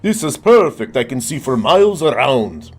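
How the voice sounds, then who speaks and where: A man's voice: mid-range voice, sing-song, moderate pace, voice pushed up. A man voicing a smug crook in a vampire costume, theatrical and pleased with himself, for a storybook. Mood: pleased, scheming. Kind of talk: read-aloud storybook voice.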